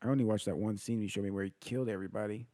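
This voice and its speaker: A man's voice: on the low side, fairly even intonation, racing, warm tone. A young man co-hosting a casual podcast, cutting in with short jokes and teasing remarks. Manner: joking interjections, teasing. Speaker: podcast co-host. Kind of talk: casual chat.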